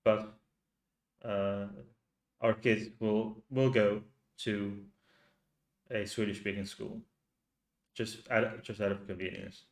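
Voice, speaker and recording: A man from Finland with a Finnish accent, a native Finnish speaker, hosting a livestream and talking in slow, halting rhetorical questions to his viewers. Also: fairly low pitch, little pitch movement, creaky, roomy sound. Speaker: channel host. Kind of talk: livestream commentary reading chat.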